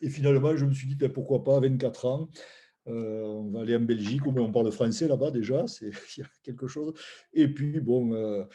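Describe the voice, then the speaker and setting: A man's voice: medium pitch, fairly quick, mellow, husky. A man, telling his story informally as a guest, in an anecdotal, digressive way. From Roussillon, southern France. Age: 60s. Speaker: founder of a food brand, guest. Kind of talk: informal spoken presentation of life story.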